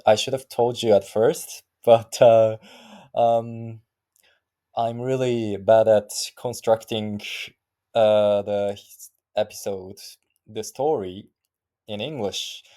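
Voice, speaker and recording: A man in his thirties with a Japanese accent, talking alone to the camera in English, halting and often restarting as he searches for words. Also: lowish voice, mellow. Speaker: vlogger practicing English. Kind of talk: casual solo monologue.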